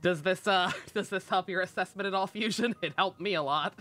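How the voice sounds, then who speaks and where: Bright-voiced casual game streamer. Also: mid-range voice, brisk. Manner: informal, swearing, joking. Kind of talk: casual live stream chat and game reactions. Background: game music.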